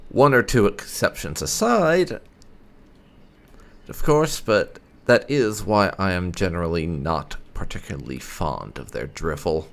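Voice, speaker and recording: A man hosting a gaming video, playfully improvising replies to game characters as light roleplay commentary. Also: low voice. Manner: playful, chuckly.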